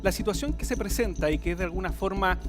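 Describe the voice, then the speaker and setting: A man's voice: high-pitched, quick pace, over music. A man with a Chilean Spanish accent, a debate candidate answering concisely and matter-of-factly.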